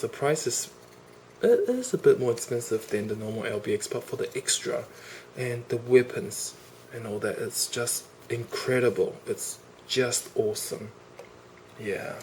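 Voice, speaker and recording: A man with a cold speaks at a middle pitch, gushing over a toy in an eager, casual way. His voice comes through a camera mic indoors, with a faint hiss underneath. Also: darkish tone, poor audio. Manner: enthusiastic, gushing, repeats "awesome" and "really". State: has a cold. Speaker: toy reviewer. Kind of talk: casual unboxing review.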